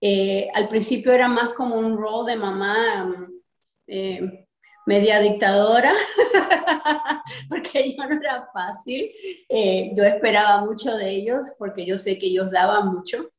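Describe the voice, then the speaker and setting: A woman's voice: medium pitch, a little slow. Echoey middle-aged woman on a call. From Cuban American from Miami. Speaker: interview guest, artist and activist. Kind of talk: conversational interview answers. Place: bright indoor room, on a video call. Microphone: video call, sitting back from the camera. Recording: echoey room over video call.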